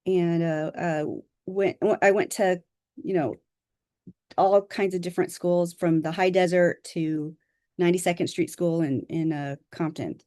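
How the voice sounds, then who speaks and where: A woman from Southern California, an author introducing herself on a video call, speaking modestly and haltingly with fillers. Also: lowish voice, fairly even intonation, dark tone, no echo, studio quality. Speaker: author presenting a workshop.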